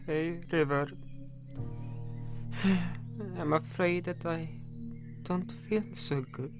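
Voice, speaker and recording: A woman's voice: low-pitched, fairly even intonation, takes it slowly. A woman playing a grieving, embarrassed young male bird who hunts at sea, hesitant and downcast, in a fantasy audio drama. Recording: loud guitar music behind the voice.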